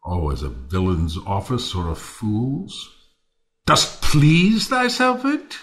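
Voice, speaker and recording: A man's voice: fairly low pitch, sing-song, slow pace, fairly bright tone, full character voice. An actor playing a bitter, ruined Athenian nobleman turned misanthrope in a Shakespearean audio play, theatrical and scornful.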